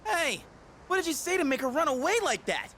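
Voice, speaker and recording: A woman playing a brash, excitable, bossy teenage schoolmate in a video game, talking in slangy exclamations. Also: high-pitched, brisk, a little hiss or hum. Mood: urgent, pushy.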